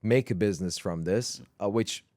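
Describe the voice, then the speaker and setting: A man's voice: on the low side, normal speed, no room sound. Dutch man chatting in a dry room. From southern Netherlands. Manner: relaxed, chatty, frequent fillers. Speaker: guest, gaming creator. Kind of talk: casual podcast interview.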